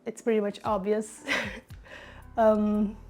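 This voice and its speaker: A woman's voice: medium pitch, little pitch movement, slow, warm tone. A young woman from Brazil with a Brazilian Portuguese accent, chatting casually to camera about her design work, upbeat and full of fillers. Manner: chatty, upbeat, many fillers and little laughs. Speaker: designer vlogger. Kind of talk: casual talk to camera while working.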